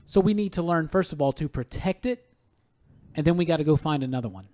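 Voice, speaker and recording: A man's voice: fairly high pitch, lively intonation, fast, warm tone. A man hosting a podcast in casual, irreverent talk, emphatic, repeating words as he goes and swearing freely. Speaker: podcast host.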